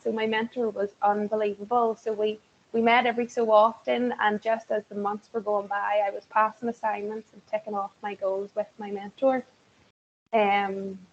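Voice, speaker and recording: A woman sharing an enthusiastic, chatty testimonial on a video call, with lots of fillers. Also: medium pitch. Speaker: webinar guest speaker. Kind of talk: casual testimonial. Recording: dull lo-fi call audio with faint hiss.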